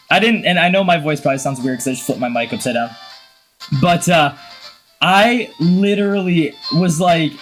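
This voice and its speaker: A man with a cold giving casual, excitable, slangy gaming commentary. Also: fairly high pitch. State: has a cold. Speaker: gaming video host.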